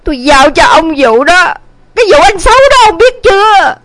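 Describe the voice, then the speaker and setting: A woman's voice: very high-pitched, very animated, moderate pace, a bit bright, no room sound, full character voice. A woman voicing a scolding, exasperated middle-aged village mother in a dramatised audio story, with a southern Vietnamese accent and exclamatory delivery. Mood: bitter, upset.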